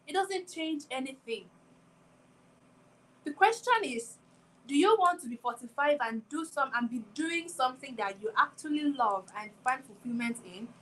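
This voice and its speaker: A woman's voice: a little high, fairly bright tone, a little hiss or hum, rough recording. A woman in her twenties hosts a solo motivational talk, speaking reflectively and returning again and again to rhetorical questions. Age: 20s. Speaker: podcast host.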